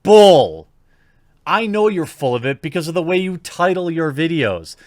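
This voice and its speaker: A man's voice: on the high side, lively intonation, moderate pace, no room sound, clean studio sound. A man giving opinionated video commentary in an indignant, informal, sarcastic manner. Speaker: YouTube commentator.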